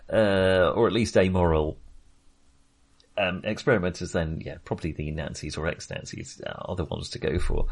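A man with a fairly low voice talks casually about film as a podcast co-host. He rambles, hunting for the right word and cutting across his own sentences. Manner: rambling, searching for words, self-interrupting.

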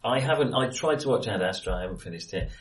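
A man's voice: mid-range voice, animated, fast talker. Hesitant man in an echoey room. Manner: hesitant, self-correcting. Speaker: film podcast host. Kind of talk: casual film discussion. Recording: roomy, echoey sound.

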